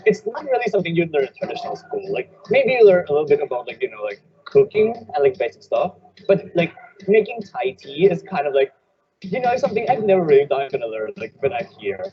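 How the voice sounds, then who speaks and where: Lively teen boy, casual rambling student, muffled with voices around. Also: fairly high pitch, fast talker. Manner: casual, lots of "like". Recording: dull lo-fi audio with crowd.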